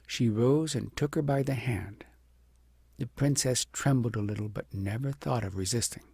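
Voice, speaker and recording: A man narrating a storybook as an audiobook, reading in a gentle, expressive way. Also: mid-range voice, moderate pace, gravelly, husky, no room sound, studio quality.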